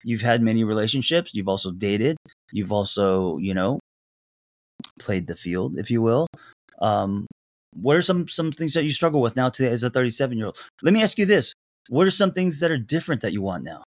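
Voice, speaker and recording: A man hosting a casual interview chat, earnest and halting, often restarting his sentences. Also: mid-range voice, expressive, dry recording, studio quality. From came to the US at three. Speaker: podcast host.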